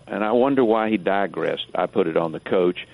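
A low-voiced man gives blunt, emphatic sports opinions as a guest on talk radio. The sound is narrow and tinny, like a phone call. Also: moderate pace, gravelly.

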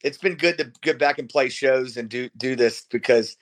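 A man's voice: mid-range voice, moderate pace. A man around forty who sings in a rock band, chatting casually and informally as an interview guest. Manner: informal, swearing. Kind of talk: casual chat.

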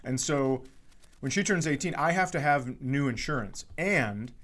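A middle-aged American man chatting casually as a comedy podcast host, with an animated, profane, ranting manner. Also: medium pitch, unhurried.

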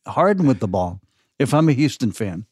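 An older man chatting casually about sports as a podcast guest, halting and repeating his words. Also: mid-range voice, very animated, a little mellow, husky, no echo, studio quality.

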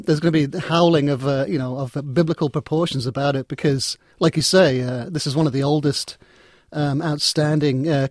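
A man's voice: a little high, darkish tone, no room sound, studio quality. A man talking as an interview guest in a conversational, discursive way, often repeating and reworking his words. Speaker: interview guest, technology writer and editor.

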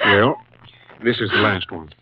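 A subdued police captain, low-pitched and matter-of-fact. It is 1950s radio acting on a dry, dull old recording. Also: male voice, a little slow, breathy edge, dry recording.

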